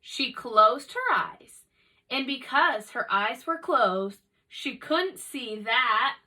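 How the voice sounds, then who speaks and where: A woman narrating a children's storybook as an expressive read-aloud. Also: a little high, takes it slowly, distant mic.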